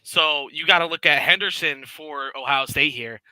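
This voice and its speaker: A man chips in with quick analysis beside the play-by-play caller. His voice is very bright and sharp, pitched slightly high. It is recorded close and dry. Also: no room sound, low-quality recording. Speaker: co-host analyst. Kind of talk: color commentary.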